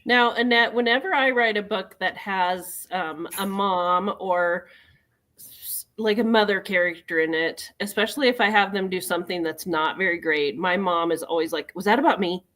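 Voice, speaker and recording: A woman's voice: medium pitch, moderate pace, fairly bright tone. A woman from Colorado talking casually as an author and book club host. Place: live online video chat.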